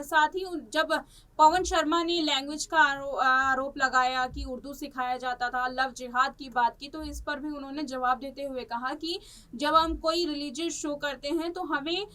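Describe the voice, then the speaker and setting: A woman presenting news commentary in a halting, repetitive way, stretching words as she searches for the next. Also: sits high, pushed and bright. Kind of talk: unscripted news commentary on a celebrity case. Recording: distant microphone.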